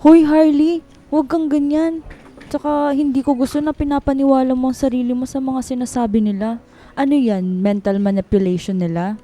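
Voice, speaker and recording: A woman's voice: high voice, steady tone, normal speed, dark tone, natural voice. A woman playing a supportive, protective office friend, consoling and loyal, in a radio drama. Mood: indignant. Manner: consoling, indignant on friend's behalf.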